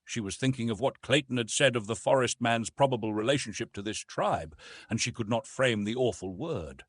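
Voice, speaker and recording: A man reading an adventure novel as an audiobook, with expressive, dramatic storytelling. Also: mid-range voice, fairly quick, slightly rough, no echo. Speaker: audiobook narrator. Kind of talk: scripted narration of an adventure novel.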